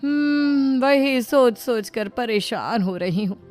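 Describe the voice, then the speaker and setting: A woman's voice: fairly high pitch, animated, slow, darkish tone. A woman voicing a crafty, firm middle-aged mother-in-law in a cartoon story, coaxing and pleading by turns. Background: faint background music.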